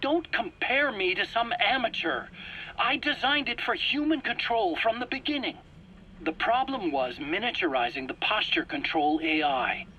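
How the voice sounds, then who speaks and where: A man's voice: sits high, expressive, moderate pace, pushed and bright, husky, lo-fi sound, heavily acted. An actor playing an anxious, self-pitying male scientist in a video game cutscene, pleading and stammering indignantly in his own defense. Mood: proud explaining. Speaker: accused scientist under interrogation.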